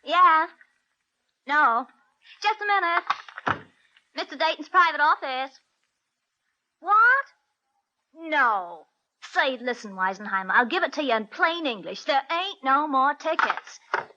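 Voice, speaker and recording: An actress playing a brash, slangy young American secretary in a 1940s radio drama, blunt and wisecracking. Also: female voice, high voice, sing-song, takes it slowly, long pauses, pushed and bright, exaggerated voice. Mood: impatient. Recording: dull, faintly noisy old radio recording.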